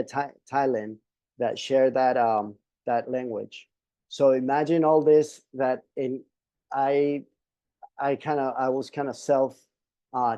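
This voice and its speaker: Brief: man, native Spanish speaker, webinar panelist, hesitant delivery, dark mellow tone, dry sound. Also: mid-range voice, no room sound. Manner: halting, many restarts and fillers. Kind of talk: casual panel talk.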